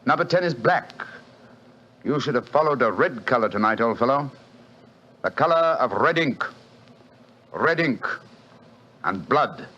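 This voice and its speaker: An actor playing a calm, dry-witted detective in a 1940s radio play, speaking in a clipped, measured way. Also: male voice, medium pitch. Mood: wry. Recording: muffled old radio recording with faint hiss.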